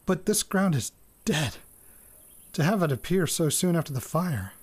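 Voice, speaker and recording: A man's voice: on the high side, lively intonation, moderate pace, slightly rough. An actor playing a hesitant farm-raised man in an audio drama, murmuring and thinking aloud as if into a recorder. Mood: puzzled, awed.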